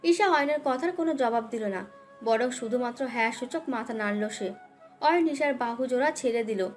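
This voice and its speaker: A woman's voice: fairly high pitch, normal speed, faint music behind. A woman narrating a scripted dramatic love story as its storyteller.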